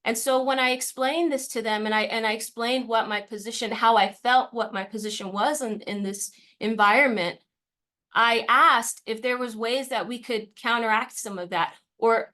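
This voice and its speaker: A woman's voice: a little high, pushed and bright. A woman on university faculty, speaking earnestly and with pride as a panelist in a webinar discussion. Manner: earnest, proud, explanatory.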